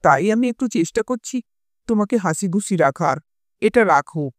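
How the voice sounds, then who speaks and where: A man's voice: high-pitched, lively intonation, fairly quick, no echo, studio quality. A man voicing a humble, loving young husband in a cartoon story. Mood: tender. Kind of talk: Bengali cartoon fairy-tale voice acting.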